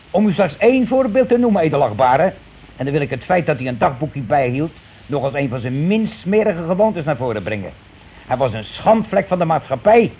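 An actor playing a blunt, self-righteous working-class husband in a radio play, grumbling, bossy and rather pompous. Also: male voice, on the high side, darkish tone, husky. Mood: pompous, accusing. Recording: noisy old radio play recording.

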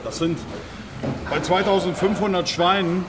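Aggrieved pig farmer, male actor, high voice, self-justifying and halting, naturalistic radio drama, noisy location. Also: lightly acted. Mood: eager, explaining. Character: aggrieved, defensive male German pig farm owner. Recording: loud ambient noise of an animal barn.

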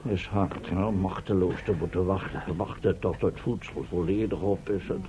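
A man's voice: deep voice, fairly even intonation, moderate pace, natural voice. An actor playing a gloomy, fussy captive professor in a Dutch radio play, pompous and wordy in his fretting. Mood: worried, despondent. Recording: muffled, noisy old radio play recording.

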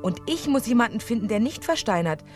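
An actress playing a haughty, sharp-tongued lady in a fairy-tale radio play, snappy and scornful. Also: fairly high pitch, expressive, brisk, close to an everyday voice. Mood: impatient, haughty. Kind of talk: German radio play acting. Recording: studio radio play with music behind.

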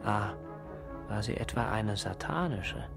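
An actor playing a brooding, inquisitive intellectual in a radio play, with a husky, creaky voice and a musing, literary delivery. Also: male voice, lowish voice, lively intonation, a little slow, over music. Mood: curious. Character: brooding, inquisitive male intellectual drawn to the occult. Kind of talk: German radio play dialogue.

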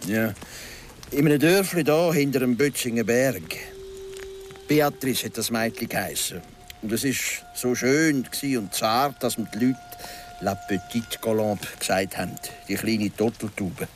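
An actor playing a philosophising local guide and storyteller in a Swiss German radio drama, speaking in a deliberate, dramatic way. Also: male voice, mid-range voice, faint music behind, lightly acted. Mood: grave, dramatic. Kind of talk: radio drama, telling a folk legend.

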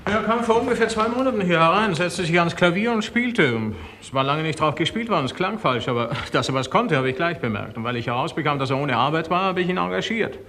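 A man playing an excitable, grumbling pub landlord, chummy yet wary, in a 1960s German radio crime drama. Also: fairly high pitch, fast, few pauses. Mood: wary, grudging. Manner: animated, protesting, chuckling wryly. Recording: 1960s radio play, piano music behind.

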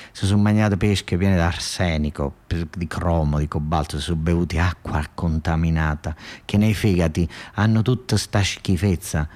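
Southern italian guy doing a theatre-style story monologue, lowish voice, emphatic, keeps repeating himself, really colloquial. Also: a little mellow. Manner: emphatic, halting and repetitive, colloquial.

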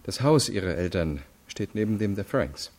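An actor playing a stern, probing investigator in a radio crime drama, formal, measured and insistent. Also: male voice, low-pitched, very animated, a little mellow, full character voice. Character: stern, probing adult male investigating state attorney. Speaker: interrogator.

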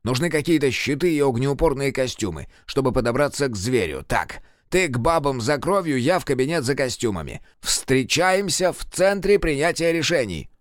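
A man's voice: medium pitch, very animated, normal speed, few pauses, no room sound, studio quality, close to an everyday voice. A man voicing a bossy, scheming old grandfather with a crude, commanding manner in an audiobook.

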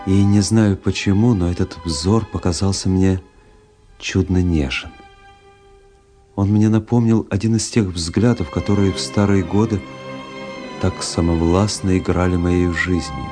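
An actor playing a world-weary officer who narrates a literary radio play in a measured, brooding, dramatic way. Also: male voice, low-pitched, fairly even intonation. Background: music.

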